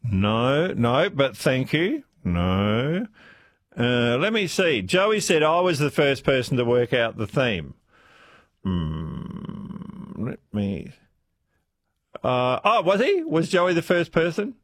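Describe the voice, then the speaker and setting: A man hosts a radio quiz from a studio mic, his voice husky and very animated. He is chatty and quick to laugh as he puts questions to callers. The sound is dry and close. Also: mid-range voice, no echo. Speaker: talkback radio host. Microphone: studio microphone.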